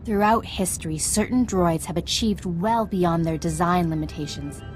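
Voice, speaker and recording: A woman playing a calm, thoughtful Jedi knight in a video game, speaking in a measured, earnest way. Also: fairly low pitch, close to an everyday voice. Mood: earnest, focused. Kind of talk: scripted video game acting. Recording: music behind the voice.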